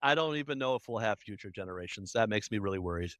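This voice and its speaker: Bright punchy voice, animated emphatic guest, dry close sound. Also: medium pitch, dry recording. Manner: animated, emphatic, quick bursts. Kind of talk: conversational interview.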